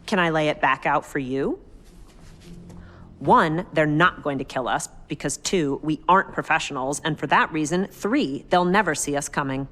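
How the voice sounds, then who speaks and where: An actress playing a bold, quick-witted young woman who talks her friends into a heist, in a game cutscene. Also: deep voice, fairly bright tone, big-room sound. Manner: cocky, persuasive, quick-witted. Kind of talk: video game cutscene acting.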